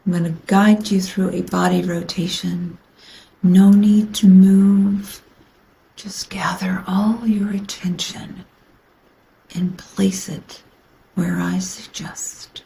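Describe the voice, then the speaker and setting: A woman guiding a yoga nidra meditation in a soothing, unhurried manner with long pauses, her phrases trailing into breathiness. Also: medium pitch, little pitch movement, creaky, dark tone, rough recording. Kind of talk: guided meditation.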